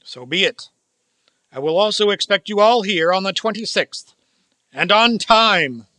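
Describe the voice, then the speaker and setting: A man's voice: high-pitched, animated, unhurried, sharp tone, dry recording, heavily acted. An actor playing a cold, miserly old businessman in a radio play, speaking in a formal, sarcastic, condescending way. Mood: cold, stern. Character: cold, miserly old male business owner, scornful of Christmas. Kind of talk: theatrical radio play acting.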